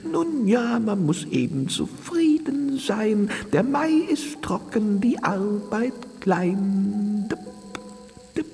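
An actress playing a mild, fussy rain spirit in a children's radio play, dainty and plaintive, dotting the verse with dripping sounds. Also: female voice, medium pitch, big pitch swings, slow pace, gravelly, warm tone, big-room sound, faint music behind, full character voice.

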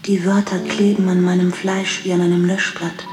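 An actress playing Sarah's part in a poetic radio play, a tender grieving lover with a breathy, measured delivery. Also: female voice, lowish voice, flat delivery, echoey room, music in the background, natural voice. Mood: sorrowful, searching. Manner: breathy, hushed, slow and measured.

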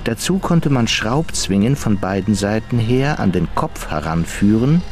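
A man's voice: lowish voice, expressive, unhurried, a little mellow, faint music behind. A man reading scripted narration in a German radio crime drama.

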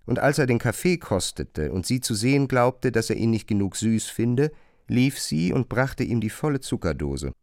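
A male narrator reads literary prose for a radio drama in a dry, close studio. His mid-pitched voice moves up and down in a lively way, while the long sentences stay carefully phrased. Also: normal speed, no room sound. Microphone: close studio microphone.

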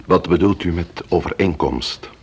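An actor playing a serious, probing investigator in a Dutch radio crime drama. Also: male voice, deep voice, moderate pace, slightly rough. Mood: pressing.

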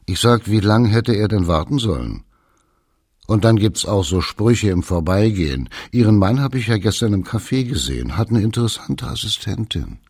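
A man's voice: fairly low pitch, sing-song, moderate pace, a little mellow, slightly rough. A man telling candid stories in a blunt, colloquial, conversational way. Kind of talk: candid conversational storytelling about expat life.

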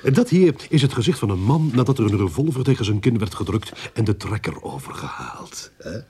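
A husky, scornful police inspector. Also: male voice, fairly low pitch, very animated, fairly quick, mellow, heavily acted. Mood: biting mockery. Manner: sarcastic, theatrical, browbeating. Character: scornful, blustering male police inspector, bitingly sarcastic. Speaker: radio drama actor. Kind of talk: radio play acting.

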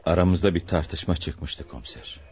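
A man's voice: low-pitched, moderate pace, darkish tone. An actor playing a stubborn, secretive wealthy father under arrest in a radio play, speaking in a grave, evasive way. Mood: resigned. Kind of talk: radio theatre acting.

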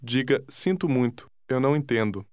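A man narrating a language course, giving calm, deliberate prompts to listen and repeat. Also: mid-range voice, clear, resonant tone, no echo, clean studio sound. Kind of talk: scripted instruction.

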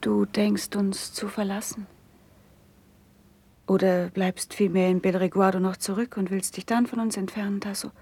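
A noble princess in classical radio verse. Her voice is breathy, with a creaky edge, at a middling pitch. She speaks softly and with restrained concern. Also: flat delivery, moderate pace.

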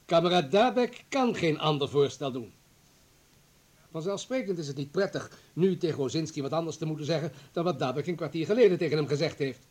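A calculating male party comrade in a radio play. His voice is husky and a little high, and he argues with pragmatic, persuasive urgency. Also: expressive, a little fast, darkish tone. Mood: calculating argument. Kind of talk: Dutch radio drama acting.

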